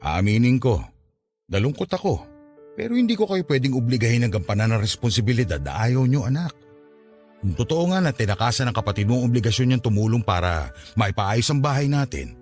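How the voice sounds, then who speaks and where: A man's voice: mid-range voice, wildly swooping pitch, brisk, darkish tone. A Filipino man playing a patient, resigned older father in a radio drama, soothing and forgiving in his manner. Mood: sad, forgiving. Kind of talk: Tagalog radio drama acting. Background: background music.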